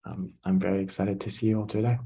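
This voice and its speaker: A man from France, introducing himself politely and briskly on a video call. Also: sits low, steady tone, gravelly, warm tone, slightly rough. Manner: polite, brisk, with hesitant fillers. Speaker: community engagement staff.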